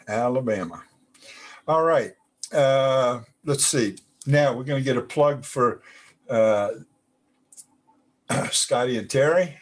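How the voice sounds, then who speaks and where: A man's voice: medium pitch, slow, long pauses. A man hosting a casual online community chat, talking in a folksy, rambling way with restarts. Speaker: host.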